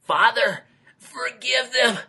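Gentle storybook camel boy, high and husky, voiced by a man. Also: slow pace, exaggerated voice. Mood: pleading. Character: young male camel, gentle and pious.

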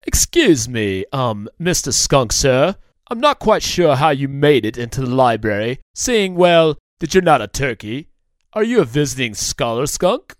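A man's voice: mid-range voice, very animated, measured pace, no room sound. A man voicing a proud, snobbish male turkey scholar in a children's story, with a haughty, pompous manner. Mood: formal, puzzled.

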